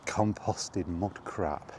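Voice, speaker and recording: A middle-aged man giving a casual, rambling chat as he walks outdoors, in an unhurried, offhand manner. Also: low voice, darkish tone. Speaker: video game vlogger. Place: outdoors, walking toward a park. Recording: outdoor walking recording with background noise.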